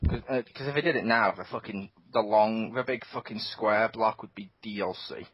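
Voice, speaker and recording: A man co-hosting a casual gaming podcast, excitable and laughing often, with sudden high squeals. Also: normal speed, husky, no room sound. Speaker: podcast co-host. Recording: dull lo-fi home podcast mic.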